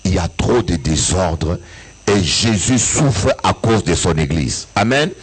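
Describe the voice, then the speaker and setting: A bishop from Africa giving an impassioned church sermon, his voice rising in sing-song peaks and breaking into shouts. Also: low voice, animated, unhurried, breathy edge. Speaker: bishop and pastor. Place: church service with an audience.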